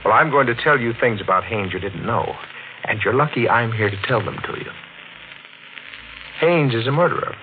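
A cunning killer with a lively, rising and falling delivery, played by a man in an old radio mystery. He talks calmly and smoothly, even as he menaces. The sound is thin and tinny, with a steady hiss under it. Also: medium pitch, moderate pace, pauses often, natural voice. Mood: persuasive. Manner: calm, menacing, silky. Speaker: villain in a radio drama. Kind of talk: 1940s radio acting.

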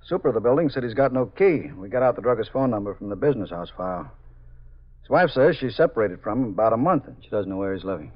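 A man with an American accent playing a brisk, efficient desk lieutenant, clipped and businesslike, in a 1950s radio drama. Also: lowish voice, expressive. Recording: muffled 1950s radio recording.

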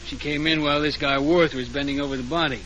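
An actor playing a brusque, impatient American police sergeant with gruff, slangy, bossy delivery in a 1940s radio detective drama. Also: male voice, a little high, steady tone, quick pace, a bit bright, natural voice. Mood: brisk, sardonic. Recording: noisy old radio transcription.

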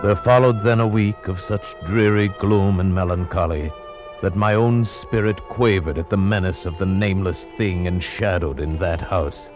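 A man's voice: low-pitched, normal speed, lightly acted. An actor playing a grave, dread-filled man who tells a gothic horror tale, solemn and theatrical, in 1940s radio drama style. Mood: solemn, ominous. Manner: solemn, ornate, theatrical delivery. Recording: muffled old radio broadcast recording. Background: dramatic music.

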